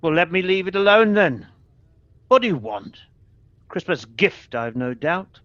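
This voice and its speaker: Radio play miser, male actor, elderly, grumpy and curt, raised pitch, close dry sound with muffled top end. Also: big pitch swings, a little slow, fairly bright tone, no room sound, heavily acted. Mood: scornful. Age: older. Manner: curt, scornful, barking. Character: grumpy, miserly old businessman, scornful of Christmas.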